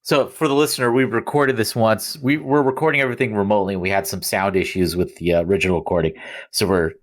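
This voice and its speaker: A man's voice: on the low side, steady tone, fast talker. A man hosting a podcast in a casual, conversational way with joking asides. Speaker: podcast host. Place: remote recording.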